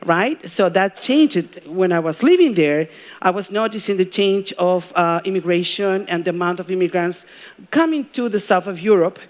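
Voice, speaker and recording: A middle-aged woman from Peru with a Peruvian Spanish accent, giving a live talk as a journalism professor. She engages the crowd with questions and pauses often with ums and uhs. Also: fairly low pitch, expressive, darkish tone. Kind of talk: live stage talk to an audience. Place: event stage before a live audience.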